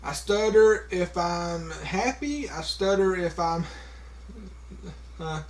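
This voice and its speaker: A man's voice: high voice, lively intonation. A man talking casually to a camera, with a heavy stutter, repeating sounds and stopping on words, with many fillers. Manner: slow, halting, many fillers. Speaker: vlogger.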